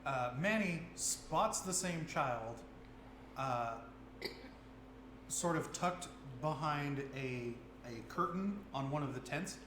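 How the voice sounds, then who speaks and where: Brief: fairly high-voiced male game master, easygoing, roomy echo. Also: animated, slow. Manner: chatty, laughing, many fillers. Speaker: dungeon master. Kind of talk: narrating a tabletop game. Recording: roomy with faint noise.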